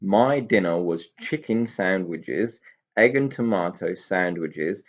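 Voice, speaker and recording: A man voicing a cross, bullying goblin from a children's storybook, loud, indignant and commanding. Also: low voice, lively intonation, slow, lo-fi sound, full character voice. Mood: gruff, demanding. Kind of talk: children's storybook character reading.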